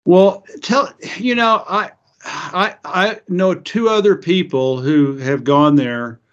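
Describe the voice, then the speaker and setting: A man from Eastern Oklahoma with an American accent, interviewing a guest in a casual, halting conversation full of restarts. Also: sits high. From Eastern Oklahoma, United States. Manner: halting, many restarts, chuckles often. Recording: muffled lo-fi audio.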